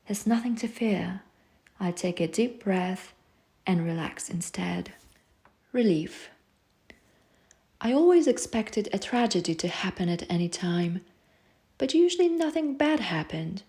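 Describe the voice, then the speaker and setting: Soft-spoken woman reciting poems. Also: medium pitch, expressive. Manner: soft, soothing, slow recitation. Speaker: poetry reader. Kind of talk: reading rhyming self-help poems aloud.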